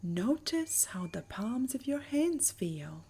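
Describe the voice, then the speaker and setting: A woman with a soft, breathy voice narrating a guided meditation in a soothing, measured manner. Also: a little high, big pitch swings. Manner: soothing, measured, breathy delivery with lingering pauses.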